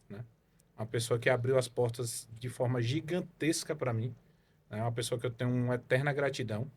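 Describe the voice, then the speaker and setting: A man's voice: mid-range voice, darkish tone. A man from Salvador, a podcast guest telling his career story in a rambling way, full of fillers and tag questions. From Salvador, Bahia, Brazil. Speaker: guest, car evaluation consultant. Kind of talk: casual podcast interview.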